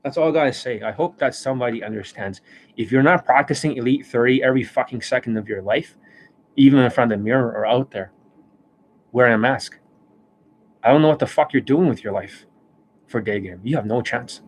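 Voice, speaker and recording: A man hosting a live stream as a dating coach. He rants bluntly and swears often, and his voice is worn and hoarse from overuse, with coughs and throat clearing. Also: mid-range voice, brisk, slight background noise, poor audio. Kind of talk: livestream monologue. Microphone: desk microphone.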